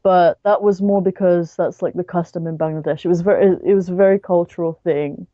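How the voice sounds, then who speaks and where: British female podcast guest, very soft dark tone, casual halting storytelling, slightly dull lo-fi recording. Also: lowish voice, fairly even intonation, no echo. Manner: halting, frequent "like".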